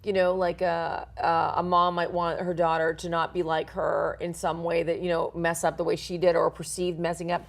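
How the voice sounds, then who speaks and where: A woman's voice: on the low side, moderate pace, vocal fry, hardly any pauses. Middle-aged American woman chatting informally. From born in Portugal, grew up in the United States. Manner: animated, informal, emphatic with playful asides. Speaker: interview guest, author and life coach. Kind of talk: conversational self-help explanation.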